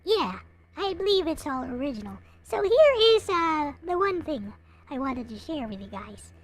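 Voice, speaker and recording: A woman's voice: sits high, sing-song, normal speed. A woman livestreamer chatting casually to her viewers in a hesitant, rambling way. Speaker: live streamer, host.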